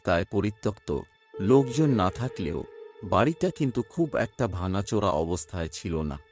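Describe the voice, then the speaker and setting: A man narrating a scripted horror story as a storyteller, in a dramatic, measured delivery. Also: fairly low pitch, darkish tone. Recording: music under the voice. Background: background music.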